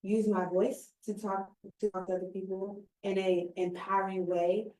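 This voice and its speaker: A woman's voice: mid-range voice, flat delivery, normal speed, dark tone. A young woman in her twenties, a recent college graduate, speaking earnestly and expressively in a casual conversation. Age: 20s. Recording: roomy sound, somewhat distant mic.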